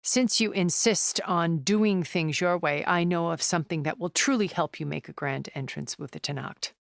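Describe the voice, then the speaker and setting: Posh calculating older lady from a video game, low voice, slow and deliberate, kinda aloof n wistful, super dry recording. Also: gravelly, dry recording. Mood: composed, persuasive. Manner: measured, refined, deliberate. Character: cultured, calculating, aloof wealthy older woman, wistful schemer. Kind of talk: scripted video game dialogue.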